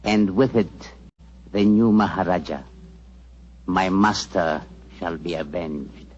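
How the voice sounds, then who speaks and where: A man playing a grave, devoted Indian court physician in 1940s radio style, formal, measured and quietly vengeful. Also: sits low, slight background noise. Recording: muffled old-time radio recording.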